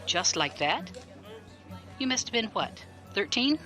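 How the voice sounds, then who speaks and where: A man's voice: sits high, sing-song, measured pace, over music. A man acting a probing, blunt military defense lawyer in a science fiction audio drama, pointed, articulate and wryly skeptical. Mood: curious, wry.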